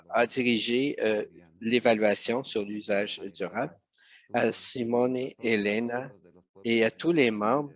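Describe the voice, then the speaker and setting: Slow, hesitant male interpreter. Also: medium pitch. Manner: hesitant, many fillers and restarts. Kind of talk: simultaneous interpretation of a webinar.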